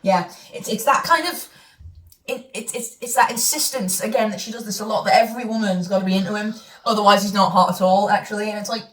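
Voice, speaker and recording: A woman talks through a book she is reading aloud, mocking it with plenty of fillers and quick restarts. She sits back from the mic, and the room rings around her voice. Her pitch sits in an ordinary middle range. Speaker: co-host reading a novel aloud. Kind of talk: casual chat and read-aloud commentary. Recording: echoey room, mic far off.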